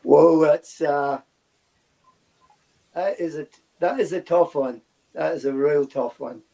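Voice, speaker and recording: A man with a mellow, gentle tone and a slightly high pitch for a male voice. He chats casually as a panellist on a sports show. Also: slow pace, gravelly.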